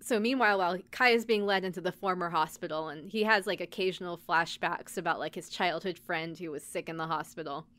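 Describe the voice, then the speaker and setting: A woman's voice: fairly low pitch, fairly quick, hardly any pauses, a bit bright. A woman podcast host giving a casual, chatty recap, speaking conversationally with lots of filler "like". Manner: conversational, peppered with "like".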